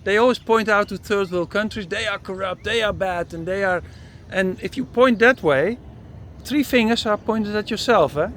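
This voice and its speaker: Male Dutch-accented travel host, talkative and jokey, slightly high voice, some outdoor noise. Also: normal speed, fairly bright tone. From Netherlands. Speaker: travel vlogger and interviewer. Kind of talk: casual street chat. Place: outdoors by parked camper vans.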